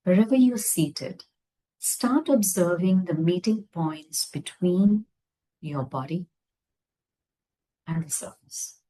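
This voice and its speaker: A woman leading a guided meditation, speaking gently in unhurried phrases with long pauses. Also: lowish voice, rough recording. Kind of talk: guided meditation, slow soothing instruction.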